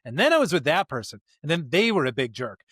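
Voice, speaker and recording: A man co-hosting a podcast, casually discussing scripture in an informal, animated way with everyday slang and playful paraphrase. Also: fairly high pitch, quick pace, slightly rough, no echo, studio quality. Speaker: podcast co-host.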